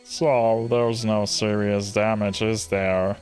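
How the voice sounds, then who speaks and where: A man voicing a younger male police detective in a visual novel, brisk and a little louder. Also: fairly low pitch, level tone, smooth, soft background music. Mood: probing.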